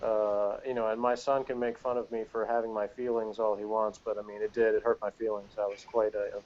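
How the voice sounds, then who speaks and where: A middle-aged man giving a casual, opinionated review in a rambling, halting way that keeps interrupting itself. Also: on the low side, flat delivery, lo-fi sound, slight background noise. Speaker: podcast panelist reviewing TV episodes.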